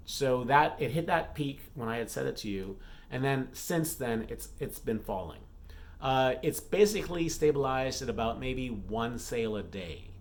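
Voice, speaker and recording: Guy author doing a casual vlog update, mid pitch, stop-start chatty talk, repeats stuff for emphasis. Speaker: romance author and vlogger.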